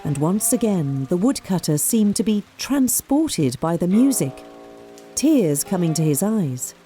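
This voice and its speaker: A woman narrating a scripted folk tale in an expressive, measured storyteller's manner. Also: fairly low pitch, faint music behind.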